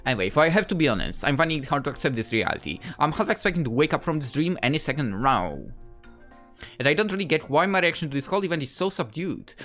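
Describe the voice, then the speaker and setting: Animated young Polish-accented man commentating. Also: a little high. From Poland. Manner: playful, chatty, rambling. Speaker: gaming YouTuber. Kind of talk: casual let's play commentary, reading game dialogue aloud.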